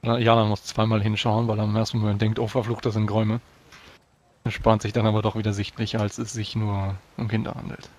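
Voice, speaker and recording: A man's voice: lowish voice, monotone, fairly quick, darkish tone. A man playing a tabletop role-playing game, casually switching between narration and speaking in character.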